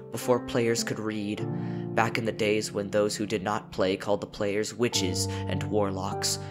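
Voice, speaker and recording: A man narrating a poem as a dramatic reading, with theatrical delivery and deliberate pauses. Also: fairly low pitch. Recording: music behind the voice.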